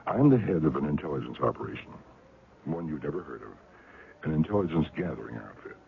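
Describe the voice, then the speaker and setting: An actor playing a courteous, evasive older man who heads a secret intelligence outfit, speaking in an unhurried way full of hums and hesitations. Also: a bass voice, sing-song, vocal fry, a little hiss or hum, heavily acted. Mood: persuasive. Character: genial older male spymaster, smooth and evasive. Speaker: head of an intelligence operation. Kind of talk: 1970s radio drama acting. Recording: tinny, lo-fi old radio broadcast.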